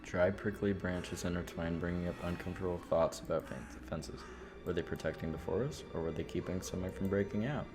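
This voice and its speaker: Male streamer, low voice, dramatic horror-game read-aloud, casual asides, expressive storytelling, prominent game music behind. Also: brisk. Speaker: streamer playing a visual novel. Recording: loud music under the voice.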